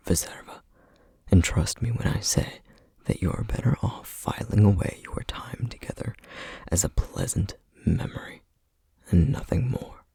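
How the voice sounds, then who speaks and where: A man playing a solemn, weary, duty-bound flame demon in an ASMR roleplay, whispering breathily and haltingly. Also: low-pitched, warm tone, dry recording, clean studio sound, heavily acted. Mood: stern, resigned. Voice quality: breathy, husky whisper with gravelly edges. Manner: slow, halting, measured delivery with heavy pauses. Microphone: close microphone, dry sound.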